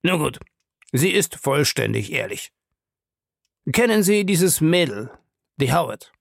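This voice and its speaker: A man voicing a shady, pushy male schemer in an audiobook, insistent, ironic and scornful, with a gravelly voice. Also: a little high, sing-song, slow, dry recording, studio quality, voice pushed up, exaggerated voice. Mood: mock admiring. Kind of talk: audiobook character dialogue.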